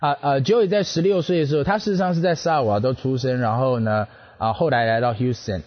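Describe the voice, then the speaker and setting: A man hosting a radio interview, relaying each of the guest's answers at a measured pace with frequent filler pauses. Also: medium pitch, muffled recording.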